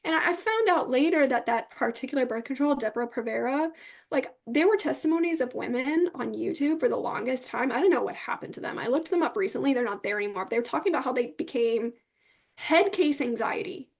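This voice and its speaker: A woman's voice: high-pitched, animated, fairly quick, vocal fry. A woman speaking as an interview guest, telling her personal story in a candid, emphatic way.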